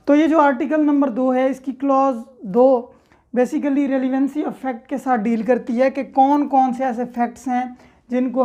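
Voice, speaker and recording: A Pakistani-accented woman speaks in a clearly high voice. She teaches law in an explanatory lecture style, alternating formal reading with plain, example-led explanation. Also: darkish tone. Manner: reads clauses aloud, then explains with simple examples.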